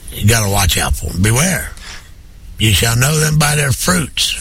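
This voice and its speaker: A man preaching fervently on a program while hoarse with a head cold, building his points through emphatic, rising repetition. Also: mid-range voice, fairly bright tone, rough recording. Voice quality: husky.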